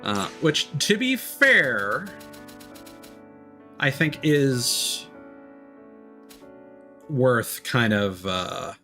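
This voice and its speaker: A male streamer talks through a game in a casual, unscripted way. His mid-pitched voice wanders and halts as he thinks aloud, with game music playing behind him. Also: big pitch swings, pushed and bright. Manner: rambling, halting, thinking aloud. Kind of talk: casual live game commentary.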